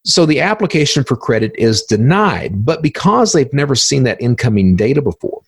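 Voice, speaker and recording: A man's voice: medium pitch, very animated, normal speed, gravelly, breathy edge, no room sound, clean studio sound. A man hosting a podcast interview, explaining things methodically, step by step.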